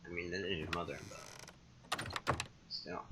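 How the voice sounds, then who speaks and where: A man with a deep voice gives casual commentary as a gamer. He muses aloud in laid-back slang, set back from the microphone with loud noise around him. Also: fairly even intonation, slow. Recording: distant mic, loud background noise.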